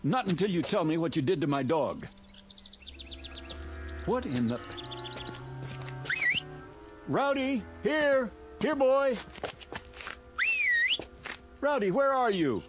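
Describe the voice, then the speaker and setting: An actor playing a wry, easily exasperated motorist in a radio drama, with music behind him. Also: male voice, high-pitched, expressive, slow, long pauses. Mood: alarmed, indignant. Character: exasperated male motorist, a wry traveller lost with his dog.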